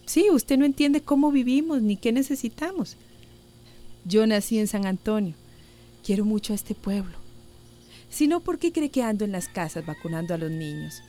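A woman's voice: mid-range voice, warm tone, close to an everyday voice. An actress playing an earnest, worried village mother and health worker in a radio soap opera. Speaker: actor in a radio drama.

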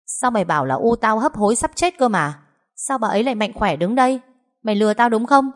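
A woman's voice: on the high side, expressive, fast talker, voice pushed up, close to an everyday voice. A woman voicing a furious, cruel young wife. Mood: accusing. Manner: shouting, accusing.

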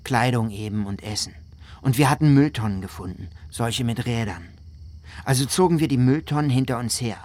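A man's voice: mid-range voice, moderate pace, a little mellow, close to an everyday voice. An actor playing a weary, indignant husband from New Orleans who survived a flood, telling his story as radio drama testimony. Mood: weary, recounting. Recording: faint noisy ambience behind the voice.

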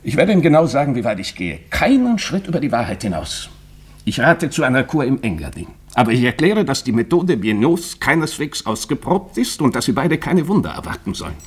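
An actor playing a stern, conscientious doctor in a German radio drama, speaking formally with urgent, admonishing emphasis. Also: male voice, medium pitch, big pitch swings, fairly quick, breathy edge.

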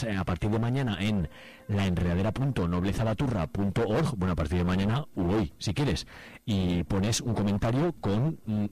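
A man's voice: lowish voice, a little mellow, no room sound. A man with a Spanish accent informally addressing listeners as a radio show host.

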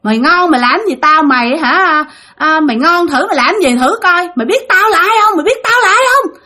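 A cocky gangster ghost speaks through a young woman's body as a woman storyteller acts it out. The voice is pitched sharply up and very high, brash and mocking, throwing out taunts and defiant boasts in rough street talk. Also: expressive, brisk, pushed and bright, exaggerated voice.

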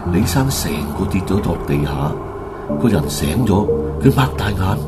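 A man narrating a ghost story for radio drama, in dramatic, animated, colloquial storytelling style. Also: low voice. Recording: loud music under the voice. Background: loud music.

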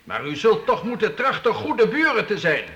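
An actor playing an imperious but fair-minded medieval count in a Dutch radio play, speaking in a stately, commanding, formal manner. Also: male voice, high-pitched, wildly swooping pitch, normal speed, sharp tone, big-room sound, highs cut off, heavily acted. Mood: measured, conciliatory. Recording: faintly noisy old radio play.

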